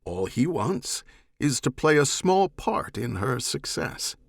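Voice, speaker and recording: Man as a cool, measured alien enforcer. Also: mid-range voice, big pitch swings, gravelly, slightly rough, no echo, studio quality, voice pushed up, exaggerated voice. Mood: explanatory. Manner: measured, formal, unhurried. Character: cool, evasive alien oath-bound bounty hunter, quietly defiant. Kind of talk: audiobook character voice, science fiction.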